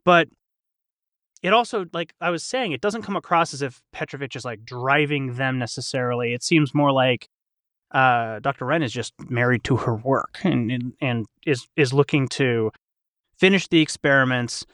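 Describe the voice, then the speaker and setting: Guy chatting casually in a discussion, normal pitch, thinks out loud, no room echo. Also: moderate pace, clean studio sound. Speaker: cast member in a behind-the-scenes discussion.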